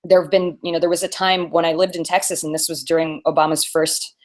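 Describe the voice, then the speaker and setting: An American woman co-hosting a podcast, telling anecdotes in a casual, animated way and laughing easily as she talks. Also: sits low, fast talker. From born in Mobile, Alabama; grew up on the US East Coast. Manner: animated storytelling, laughs easily while talking. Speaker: podcast co-host.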